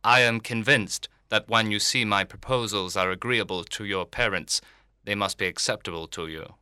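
A man's voice: fairly low pitch, normal speed, sharp tone, clean tone, no room sound, clean studio sound. A man playing a pompous, self-satisfied clergyman in a literary audiobook, speaking in formal, long-winded sentences. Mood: smugly confident. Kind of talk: dramatised literary audiobook reading.

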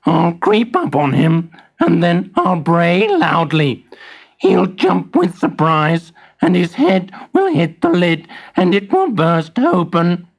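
A storyteller voicing a male toy donkey who lays out his plan loudly and slowly, in a raised voice. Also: medium pitch, big pitch swings, voice pushed up, heavily acted. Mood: scheming. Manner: loud, slow, gleeful plotting. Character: boastful male toy donkey, loud and scheming.

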